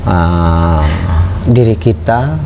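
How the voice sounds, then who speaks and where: A man's voice: sits low, drawn-out words. A middle-aged man giving a casual lecture to students, talking conversationally with many hesitant fillers and putting questions to the class. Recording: muffled with steady background noise.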